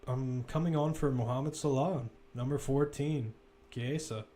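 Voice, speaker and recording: A man's voice: mid-range voice, fairly even intonation, unhurried, warm tone. A man with a mid-range voice commentates live on a football match. He talks through the play casually and conversationally, with the odd wry aside. Faint ambient noise sits behind his voice.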